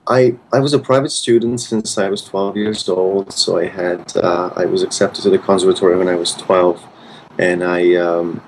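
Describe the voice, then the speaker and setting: A man's voice: sits low, steady tone, normal speed, a little mellow, rough recording. A man of thirty from Hungary with a Hungarian accent, a pianist answering interview questions thoughtfully, often restarting his sentences. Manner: thoughtful, many restarts and fillers. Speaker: pianist guest.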